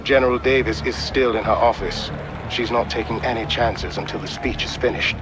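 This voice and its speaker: A man playing a calm, helpful mission control officer, giving measured, matter-of-fact briefings in a video game. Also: medium pitch, noisy background, close to an everyday voice. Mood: businesslike.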